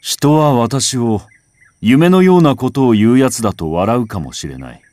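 A man reciting a literary first-person text as a scripted narration, in a measured, contemplative way with dramatic phrasing. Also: medium pitch, expressive, a little mellow, no room sound. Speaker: audiobook reader. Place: home recording.

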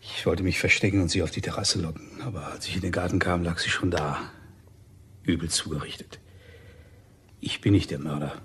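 An actor playing a cornered, evasive widower under suspicion in a crime radio drama, measured and defensive. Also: male voice, low voice, steady tone, a bit bright, slightly rough, heavily acted. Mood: resigned confession. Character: cornered widower, bitter, evasive, confessing suspect.

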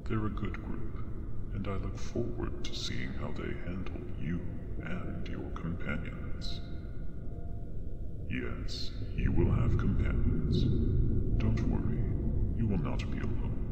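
A man in a scripted ASMR roleplay, speaking as an ominous, grandiose disembodied game master, hushed and partly whispered, slow and theatrical. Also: very deep voice, vocal fry, lo-fi sound. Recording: very noisy, long hall reverb.

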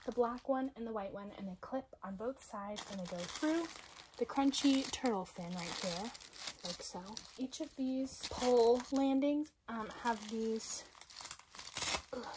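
Chatty young mom, half-whispering. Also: female voice, fairly high pitch, slight background noise. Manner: chatty, rambling, often drops to a hushed voice. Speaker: parent reviewing a baby product. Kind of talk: casual product review. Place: carpeted bedroom at home. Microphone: handheld phone camera.

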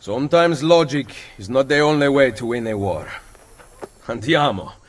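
A man's voice: mid-range voice, moderate pace, big-room sound, close to an everyday voice. A man playing a wry, confident assassin. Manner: calm, wry, understated. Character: confident Italian male assassin, dry wit. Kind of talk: video game cutscene dialogue.

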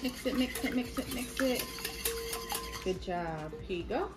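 A woman's voice: a little high, lively intonation, measured pace, echoey room. A young woman filming a casual cooking vlog with her toddler, giving sing-song encouragement in a home kitchen. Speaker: vlogger, mother. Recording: background music over kitchen sounds.

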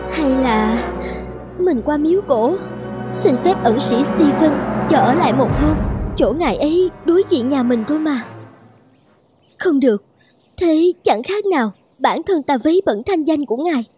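A woman's voice: high-pitched, animated, a little slow, music in the background. A woman voicing a humble, downtrodden young courtesan in a fairy-tale cartoon, plaintive and self-reproaching. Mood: sorrowful.

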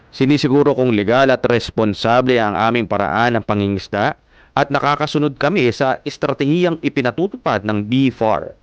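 A man's voice: medium pitch, clear, resonant tone, no echo, natural voice. An actor playing an earnest fisherman, narrating his own story in a scripted, explanatory way. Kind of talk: scripted first-person narration.

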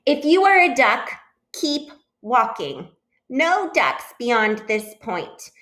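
A woman's voice: a little high, very animated, slow, a bit bright, voice pushed up, full character voice. A woman voicing an earnest, stubborn goose in a storybook, with emphatic delivery. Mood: stubborn, defensive. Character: earnest, stubborn goose, a slightly slow-thinking storybook bird.

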